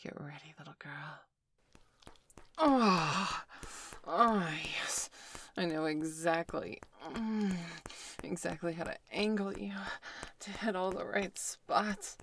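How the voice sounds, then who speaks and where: Female performer as a commanding, teasing adult heroine interrogator; breathy, unhurried and husky-low. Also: a bit bright, slight background noise. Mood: dominant, heated. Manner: breathy whispering, moaning, slow and sultry. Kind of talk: erotic audio roleplay.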